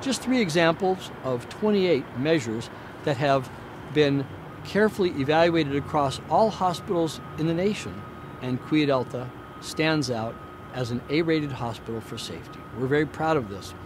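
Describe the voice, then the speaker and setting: A man giving a prepared hospital newsreel update in a formal, measured, deliberate way, like an executive addressing the community. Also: on the high side, expressive. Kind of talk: prepared newsreel presentation to camera. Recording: clear outdoor traffic and ambient noise.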